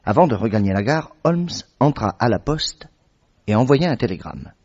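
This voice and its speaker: A man narrating a radio drama in a measured, steady way as the detective's companion. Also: mid-range voice. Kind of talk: scripted narration. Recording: dull vintage radio recording.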